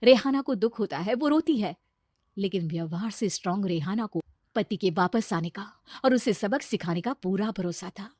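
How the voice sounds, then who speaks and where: A woman's voice: medium pitch, expressive, normal speed, a little mellow, no room sound, clean studio sound. A woman narrating a scripted moral story as the storyteller.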